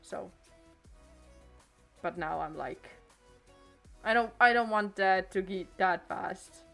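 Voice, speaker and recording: A teenage girl talks loosely into a desk mic at home, her thoughts stopping and starting as she circles back on herself. Her voice sits at an ordinary middle pitch for a young woman. Faint music plays quietly underneath. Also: slow pace, gravelly. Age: late teens. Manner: hesitant, many restarts and trailing thoughts. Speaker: streamer. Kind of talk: casual rambling stream chat. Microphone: desk microphone.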